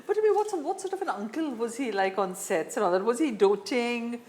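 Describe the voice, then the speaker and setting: Lively-pitched Indian woman interviewer. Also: fairly quick, slight background noise. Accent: Indian English. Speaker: talk show host, interviewer. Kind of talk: conversational celebrity interview.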